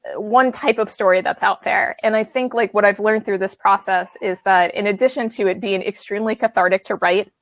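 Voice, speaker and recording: A woman's voice: medium pitch, fairly even intonation, brisk, vocal fry, no echo. A woman in her thirties from the American Midwest, an author-illustrator chatting casually on a video call, rambling with lots of fillers and restarts. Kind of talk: casual conversation. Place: home studio room with brick wall. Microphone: video call webcam.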